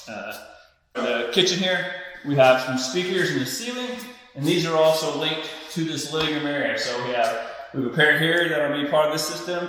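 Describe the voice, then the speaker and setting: A man's voice: fairly high pitch, bright, forceful tone. A middle-aged man giving a casual, folksy walkthrough as an installer on a job site. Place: large unfinished house interior, hard floors. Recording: echoey empty rooms with long reverb.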